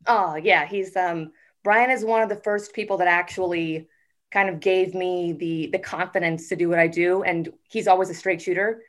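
Chatty woman guest with a lowish voice. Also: steady tone. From United States. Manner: chatty and upbeat, with frequent "um" pauses. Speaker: guest, TV network executive. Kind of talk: casual podcast interview.

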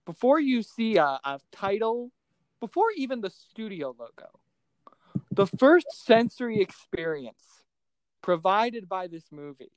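Clear-voiced man co-hosting a podcast. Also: sits high, big pitch swings, a little slow, no room sound, rough recording. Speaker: podcast co-host. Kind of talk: casual pop culture discussion.

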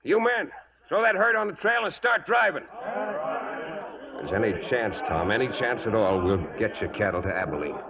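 A man's voice: medium pitch, very animated, normal speed, a loud crowd. A man acting a firm young cowboy in a 1940s American radio western, terse and commanding. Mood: grim resolve. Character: firm young cowboy leading a trail-drive mutiny. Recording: dull 1940s radio broadcast recording.

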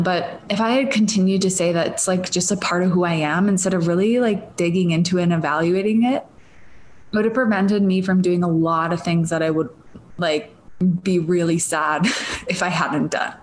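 A woman's voice: fairly low pitch, fairly even intonation, fairly quick, a little hiss or hum. Rambling Minnesotan woman podcaster. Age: 30s. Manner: rambling, stream of consciousness, laughing asides. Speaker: podcast host. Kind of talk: casual solo podcast monologue.

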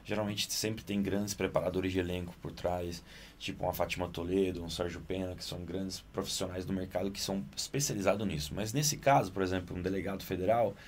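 Male actor guest from Naples, lowish voice, animated interview talk, faint room ambience behind. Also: a little fast, a little hiss or hum. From Naples, Italy. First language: Italian. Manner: animated, rises in pitch when excited. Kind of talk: interview conversation.

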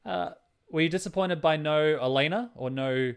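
Chuckly, slightly high-voiced man in casual conversation. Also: moderate pace, creaky, clear, resonant tone. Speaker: podcast co-host. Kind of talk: casual film discussion.

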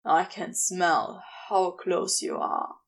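A woman voicing a quietly commanding but tender male lover in an audiobook, speaking in an intimate, coaxing way. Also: on the low side, lively intonation, slow pace, creaky, breathy edge, pitched below the performer's own voice, exaggerated voice.

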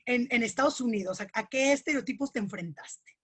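Breathy, higher-voiced woman podcast host. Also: brisk, a bit bright. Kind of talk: interviewer asking questions.

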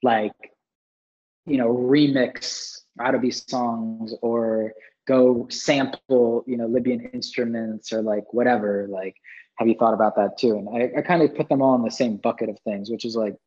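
A husky-voiced man speaks as a musician guest in loose, rambling conversation. His recording sounds a little dull and lo-fi. He often fills his talk with "like". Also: medium pitch, normal speed. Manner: relaxed, rambling, heavy use of "like". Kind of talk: casual conversation.

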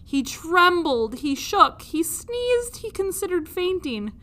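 A woman reading a children's story aloud like a teacher, with expressive narration. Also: extremely high pitch, slow pace.

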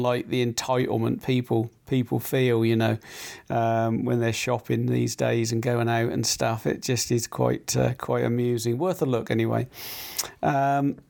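A middle-aged man with a croaky throat, hosting a casual chat show, rambling with many fillers and restarts. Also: medium pitch, a little mellow.

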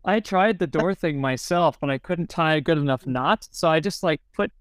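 A man's voice: fairly high pitch, moderate pace, a bit bright, clean tone, dry recording. A man talking casually as a co-host in a literary discussion.

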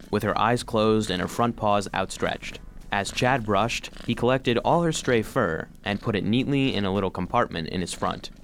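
A man playing a gloomy, self-deprecating robot vacuum cleaner, telling his story wryly as narration for a children's audio drama. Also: lowish voice. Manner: wry, storytelling.

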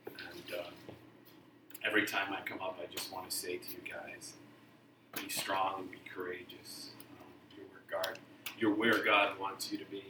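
Texas-born pastor preaching in a roomy church. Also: male voice, low-pitched, flat delivery, normal speed, distant mic, background noise. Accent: mostly faded Texas. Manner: conversational, self-deprecating humor. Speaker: guest pastor. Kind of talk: sermon. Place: church sanctuary. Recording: roomy church sound from off-mic.

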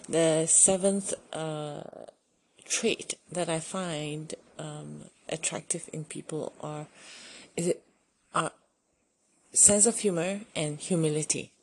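A woman's voice: low-pitched, darkish tone, slight background noise. A middle-aged woman with a Malaysian English accent, talking casually to camera in a chatty, self-deprecating way. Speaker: YouTuber.

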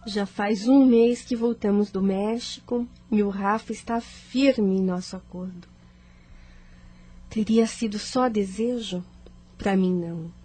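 A woman's voice: mid-range voice, steady tone, slow, dark tone, faint music behind, lightly acted. An actress playing an impulsive, pleading, lovestruck office woman in an emotive radio soap style. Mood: wistful.

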